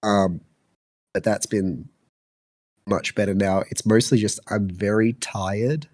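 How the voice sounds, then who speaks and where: A man's voice: on the low side, steady tone, slow, a little mellow, no room sound, clean studio sound. A man in his twenties with an Australian accent, co-hosting a podcast, sounding congested and fluey, rambling with many fillers. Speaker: podcast co-host. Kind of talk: casual chat.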